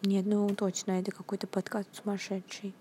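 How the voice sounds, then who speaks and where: A woman's voice: medium pitch, monotone, brisk, dark tone, husky. Hesitant young woman half-whispering into phone. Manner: hesitant, many fillers and restarts, often half-whispering. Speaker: podcast host. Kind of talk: casual rambling monologue. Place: at her workplace, indoors.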